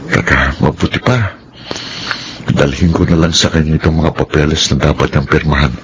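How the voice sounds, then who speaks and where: A man's voice: rumbling low, steady tone, moderate pace, background noise. A man acting a kind-hearted, anxious employee in a radio drama. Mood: musing, concerned. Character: loyal, kind-hearted male employee of modest means, anxious. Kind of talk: radio drama acting with spoken inner monologue.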